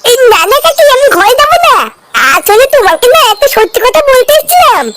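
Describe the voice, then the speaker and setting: A performer voicing a naive, chatty village boy in a comedy cartoon, earnest and slangy, with a high cartoonish voice. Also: very animated, brisk, heavy background noise.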